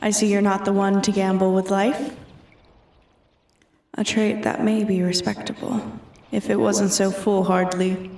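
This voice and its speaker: A woman's voice: mid-range voice, flat delivery, unhurried, darkish tone, close to an everyday voice. A woman playing an ancient, arrogant female dragon in a roleplay ASMR, haughty and taunting, often sinking toward a whisper. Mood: haughty, mocking. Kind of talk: roleplay ASMR, scripted dramatic monologue. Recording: echoey, distant from the mic.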